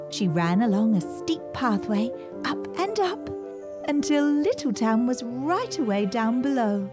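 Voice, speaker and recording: A woman narrating a scripted children's story as a storybook reader, with gentle music behind her. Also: medium pitch. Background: gentle background music.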